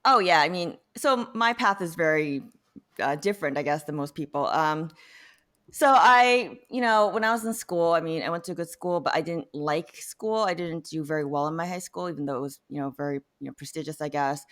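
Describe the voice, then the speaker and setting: Fast-talking low-voiced woman in an interview. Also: fairly bright tone. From born in South Korea, later immigrated to the United States. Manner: informal, peppered with "you know" and "like". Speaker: interview guest, data science professional. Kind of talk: conversational personal storytelling in an interview.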